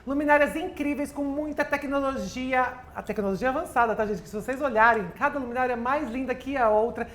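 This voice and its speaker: A woman's voice: sits high, fairly bright tone, slight background noise. A woman co-hosting a podcast, upbeat and chatty, opening the show and reading a sponsor spot. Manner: upbeat, chatty, quick interjections. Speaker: podcast co-host. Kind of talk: casual podcast hosting, ad read.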